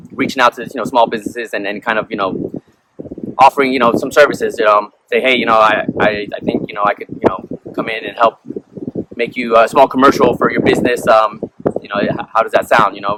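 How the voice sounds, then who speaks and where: A young man born in Peru, a musician being interviewed, who rambles casually and fills his talk with "you know" and "um". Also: mid-range voice. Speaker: musician guest. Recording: noisy background.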